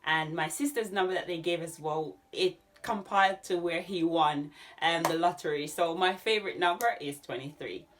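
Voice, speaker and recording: A woman in her thirties with a Jamaican accent, chatting casually to camera in an animated way as she answers questions. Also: fairly low pitch, normal speed, few pauses, noisy background. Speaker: YouTube vlogger. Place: small furnished room at home.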